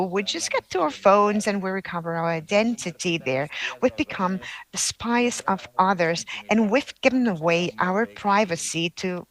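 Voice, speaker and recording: A woman who is not a native English speaker, giving a formal speech at an award ceremony, halting often with fillers and restarts. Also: mid-range voice, poor audio. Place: ceremony hall.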